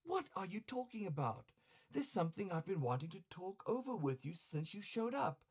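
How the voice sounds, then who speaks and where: A man voicing a wily woman courtesan in a comic story, soft-spoken, coaxing and chiding with reproach. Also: sits high, very animated, normal speed, husky, pitched above the performer's own voice, exaggerated voice. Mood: exasperated.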